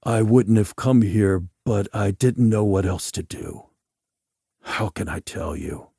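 Tormented lovesick man, acted by a male narrator: low, slow, hesitant, plaintive, dry recording. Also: creaky, warm tone, slightly rough, dry recording, exaggerated voice. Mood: weary, tormented. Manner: hesitant, drowsy. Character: drowsy, tormented, lovesick man pleading for help. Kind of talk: audiobook character dialogue.

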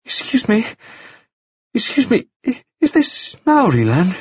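A feverish, wounded young gentleman with a high, very bright voice. He is prim and overly courteous even while dazed and muddled. The sound is dull and dry, with a breathy edge. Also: a little slow, no echo, full character voice.

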